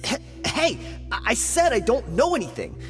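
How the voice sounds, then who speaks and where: A cowardly bandit in a video game, stammering and defensive. His voice sits high and swings wildly in pitch. A long reverb and soft music sit under the lines. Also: huge pitch swings, normal speed, exaggerated voice. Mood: nervous. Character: cowardly male bandit guard, evasive and whiny.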